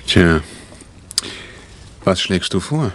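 An actor playing a jokey, self-assured husband who fancies himself a detective, in a 1960 German crime radio play. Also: male voice, deep voice, expressive, very slow, a bit bright, full character voice. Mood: casual. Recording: noisy old 1960 vinyl radio play.

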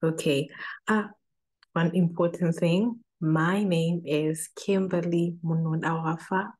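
A woman with a Zimbabwean accent hosts an online event as MC. Her voice is low and mellow, with very even, flat intonation. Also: slow, low-quality recording. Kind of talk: informal hosting of an online event.

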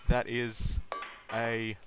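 Monotone young man, casual vlogger. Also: medium pitch, drawn-out words. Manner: informal, self-deprecating. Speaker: vlogger demonstrating how to make coffee. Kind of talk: casual how-to narration. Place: small home kitchen, indoors. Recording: noisy kitchen recording with clicks and clatter.

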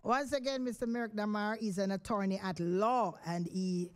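A middle-aged Jamaican woman hosts a live radio show from the studio. She talks into a studio microphone in a loose, chatty way, with plenty of ums and "of course". Her voice sits at an ordinary pitch for a woman. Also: fairly even intonation, moderate pace, a little mellow. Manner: chatty, rambling with fillers. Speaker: radio host.

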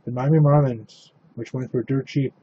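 A man hosting a live trading card break, announcing cards and teams in a steady, matter-of-fact way. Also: mid-range voice, moderate pace, highs cut off, a little hiss or hum.

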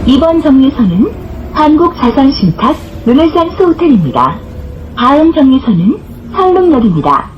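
A woman's voice: high voice, big pitch swings, normal speed, pauses often, lo-fi sound, slight background noise. A woman reading scripted bus stop announcements in a formal, carefully enunciated way, recorded inside a bus. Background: bus interior ambience.

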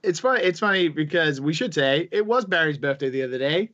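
High, lively young man on muffled call. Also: fairly quick. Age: 20s. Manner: playful, laughing often. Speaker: podcast co-host. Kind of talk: casual chat on a livestream. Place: home room, video call. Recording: slightly muffled call audio.

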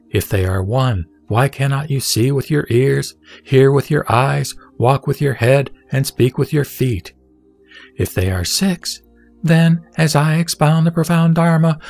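A man reads scripture as a scripted narration, solemn and deliberate in his delivery. His voice sits at a middle pitch, with music playing behind him. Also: creaky, darkish tone. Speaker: sutra reciter. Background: background music.

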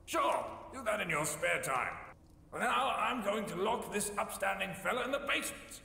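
A man voicing a playful, cranky old ghost grandfather in a video game, with a creaky elderly voice and theatrical, teasing talk. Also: sits high, animated, moderate pace, pushed and bright, exaggerated voice. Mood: mocking, playful. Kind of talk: video game character acting. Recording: reverberant hall echo.

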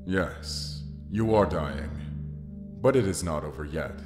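Echoing, deep actor as a solemn ghostly man. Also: expressive. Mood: solemn, tender. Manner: solemn, measured, poetic. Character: solemn, tender ghostly man from beyond death. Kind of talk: dramatic audio roleplay monologue. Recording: long cavernous reverb, music behind.

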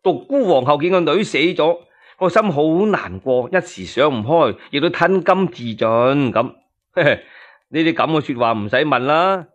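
A man tells a historical story in colloquial Cantonese. His voice is somewhat high for a man, and he narrates theatrically. Rhetorical questions and asides draw the listener in. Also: a bit bright, lo-fi sound.

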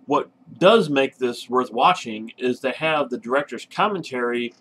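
Brief: male reviewer, mid-pitched, casual and emphatic. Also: expressive, normal speed, fairly bright tone. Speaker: movie review show host.

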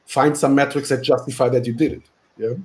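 A man's voice: medium pitch, fairly even intonation, a little fast. Man presenting on a video call. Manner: explanatory, many "right?" tags and "um" fillers. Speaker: workshop presenter. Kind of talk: webinar presentation.

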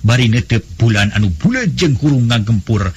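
Husky Sundanese man telling a folk tale. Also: mid-range voice, very animated. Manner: melodic, unhurried storytelling cadence. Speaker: storyteller. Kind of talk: traditional folk tale narration. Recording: faint background music.

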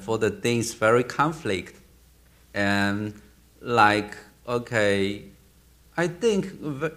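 Slow-talking man with a Burmese accent. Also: medium pitch, a bit bright. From Myanmar. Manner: halting, searching for words, with frequent restarts. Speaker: film director. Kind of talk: Q&A answers on stage. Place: theater stage.

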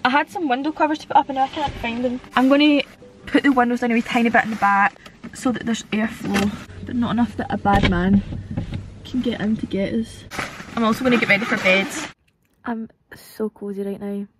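A woman's voice: on the high side, steady tone, fairly quick, a little hiss or hum, low-quality recording. A young woman with a Scottish accent, vlogging casually to camera and rambling through stories with lots of fillers. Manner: chatty, rambling storytelling with frequent fillers. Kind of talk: casual vlog chat to camera. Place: outdoors at a campsite in winter, then inside a car. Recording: background music under the voice.

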